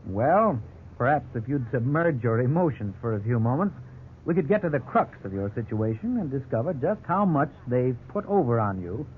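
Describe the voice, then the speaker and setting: A man's voice: mid-range voice, big pitch swings, lightly acted. Man acting formal lawyer on old, tinny radio. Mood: stern, dry. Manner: measured, formal, faintly condescending. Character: courteous, stern, formal male lawyer, patient but dry. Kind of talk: 1950s radio drama acting. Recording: old noisy 1950s radio, narrow band.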